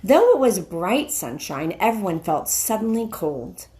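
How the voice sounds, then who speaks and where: A middle-aged woman reading a children's story aloud as a teacher, in a deliberate, dramatic narrating style. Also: mid-range voice, sing-song, warm tone. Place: small wood-ceilinged room indoors. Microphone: handheld phone at arm's length.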